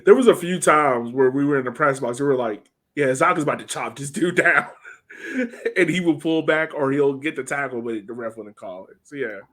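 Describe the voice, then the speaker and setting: A man's voice: mid-range voice, fairly quick, a bit bright. An American man co-hosting a sports podcast, talking casually and conversationally with informal, slangy phrasing. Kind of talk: casual soccer match breakdown chat.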